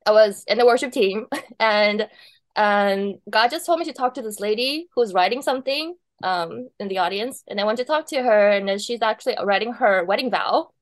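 A woman's voice: medium pitch, expressive, moderate pace, no echo. A woman from Taiwan, a wedding planner, chatting casually as an interview guest, laughing often between thoughts.

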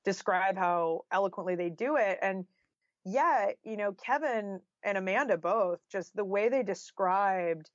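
A woman's voice: medium pitch, a little slow, no echo. A woman speaks as an author being interviewed, casual yet thoughtful. Her talk is conversational and sprinkled with filler phrases like "you know". The recording is dry and somewhat dull. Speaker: interview guest, nonfiction author.

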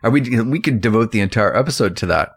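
Low-voiced middle-aged man, casual podcast co-host. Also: lively intonation, fast, slightly rough. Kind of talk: casual chat, personal anecdotes.

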